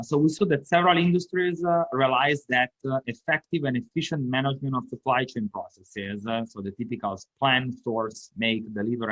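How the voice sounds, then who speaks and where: Italian-accented male academic guest, mid pitch, measured explainer, slightly dull remote-call sound. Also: fairly bright tone. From Italy. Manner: careful explanatory, frequent uh fillers. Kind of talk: podcast interview answers.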